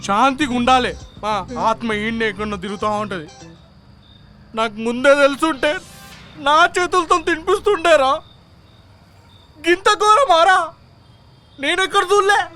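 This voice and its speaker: A woman playing a loud, melodramatic mourner in a comedy web series, wailing and rambling in Telangana Telugu. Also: high voice, normal speed, long pauses, soft background music. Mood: melodramatic mourning.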